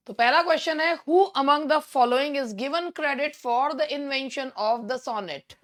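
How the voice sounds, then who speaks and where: An Indian woman with a hoarse, sore throat teaching an online English exam-prep lesson in a chatty way, often clearing her throat. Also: a little high.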